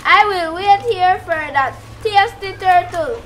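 A young woman acts out a sly, hungry puppet-show crocodile with a very high voice. The words come slowly and deliberately, with noise in the live recording. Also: fairly bright tone, full character voice. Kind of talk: amateur puppet show acting.

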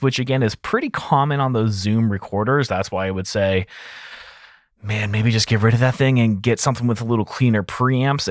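A man's voice: on the low side, no echo. A man in his thirties giving a casual, conversational audio mixing tutorial as host, speaking into a close studio microphone. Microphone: close broadcast mic on arm.

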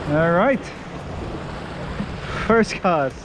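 A middle-aged man chatting casually as he fishes from a rocky breakwater, laughing and whooping when he gets excited, recorded on an action camera. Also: high voice, very slow. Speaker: fishing vlogger. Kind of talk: casual fishing vlog commentary. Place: outdoors on a rocky breakwater by the sea. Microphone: head-mounted action camera. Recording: wind and surf noise outdoors.

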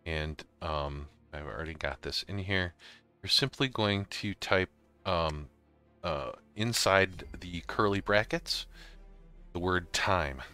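Male tutorial host, very low pitch with a crisp, bright edge, informal step-by-step delivery. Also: takes it slowly, soft background music. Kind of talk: casual screen-recorded walkthrough.

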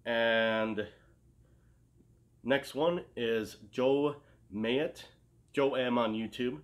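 A man's voice: medium pitch, drawn-out words. A man in his thirties chatting casually as a show co-host in a living room, often searching for words. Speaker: co-host of a comic collecting show. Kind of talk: casual chat.

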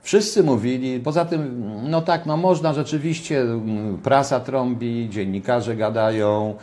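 A man's voice: mid-range voice, measured pace, a little mellow. An older man giving ironic, sarcastic political commentary from his home kitchen, repeating points for emphasis, recorded on a webcam.

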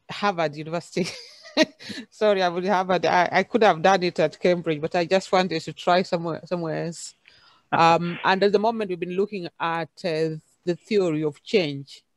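A woman from Kenya with a Kenyan accent, speaking haltingly with many fillers as a panelist on a video call. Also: on the low side, dry recording. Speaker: conference panelist, university lecturer. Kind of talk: unscripted panel discussion. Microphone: video call, camera off.

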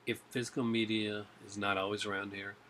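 A man's voice: on the low side, little pitch movement, fairly quick, pushed and bright, slight background noise. A man in his forties chatting casually to a webcam at home about his disc collection, rambling with many fillers and restarts. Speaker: collector vlogger. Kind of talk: casual chat to camera. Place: home room with shelves of discs. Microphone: webcam at desk.

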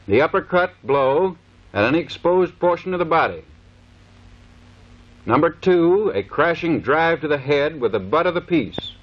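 A man giving scripted, matter-of-fact instructional narration for a training film. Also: fairly high pitch. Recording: dull lo-fi 1930s film soundtrack.

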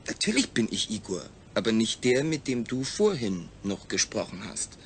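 A man acting in a German audio drama as a calm, rational astronaut, speaking in a measured, explanatory way. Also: on the low side, slight background noise. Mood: earnest, explaining.

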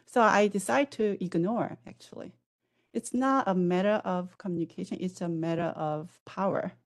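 Reflective woman with a headset mic. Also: fairly low pitch. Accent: non-native English. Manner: measured, reflective, pauses with fillers. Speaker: panelist. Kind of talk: conference panel answer.

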